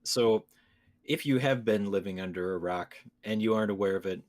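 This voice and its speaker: A man with a somewhat low voice hosts a gaming podcast. His delivery is casual and conversational, like chat among co-hosts. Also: a little fast, darkish tone. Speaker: podcast host.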